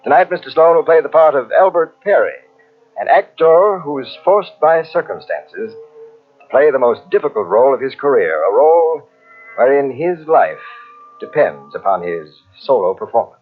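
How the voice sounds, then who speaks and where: Theatrical old-time radio host, tinny and animated. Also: male voice, medium pitch, normal speed, soft background music. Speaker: mystery show host. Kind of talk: formal 1940s radio hosting, scripted.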